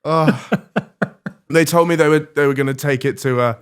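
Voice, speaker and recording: A young man hosting a comedy podcast, deadpan with frequent giggles. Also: on the high side, moderate pace, clear, resonant tone. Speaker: host. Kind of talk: casual comedy podcast chat.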